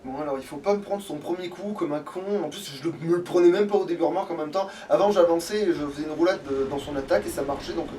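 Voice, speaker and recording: High-pitched male let's player, sweary and rambling, off-mic with some background noise. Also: fast, few pauses, dark tone, far from the mic. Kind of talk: casual gameplay chat.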